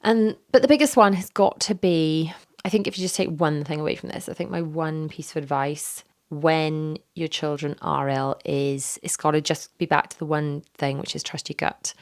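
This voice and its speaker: A woman in her thirties hosting her own podcast from a home office, hoarse from a recent illness, chatting candidly with lots of fillers into a microphone. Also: sits low, steady tone, moderate pace, few pauses. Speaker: podcast host. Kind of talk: casual solo podcast chat. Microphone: studio microphone.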